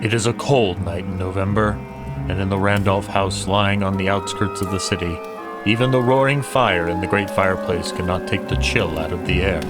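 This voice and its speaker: A man playing an earnest old-time radio announcer, narrating scripted drama in a formal, measured, dramatic way. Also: deep voice, close to an everyday voice. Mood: somber. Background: music.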